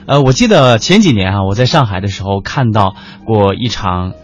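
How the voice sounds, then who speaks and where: Animated male radio host, standard Mandarin. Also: lowish voice, moderate pace. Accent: mainland Chinese standard Mandarin. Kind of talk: conversational radio co-hosting. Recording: slightly dull audio with music underneath. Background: background music.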